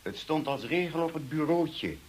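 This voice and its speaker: An actor in a Dutch radio drama playing a formal, deferential manor butler who speaks precisely and politely. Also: male voice, on the high side, expressive, normal speed, background noise, lightly acted. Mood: formal, concerned.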